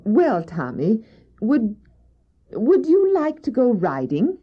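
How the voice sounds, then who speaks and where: A woman's voice: a little high, wildly swooping pitch, slow, husky, exaggerated voice. A woman playing a kindly, gracious, wealthy lady in an old-fashioned audio drama. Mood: curious, kindly. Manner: gracious, exclamatory. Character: well-dressed, kindly, wealthy lady in a carriage. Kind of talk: retro audio drama acting. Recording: dull old cassette tape.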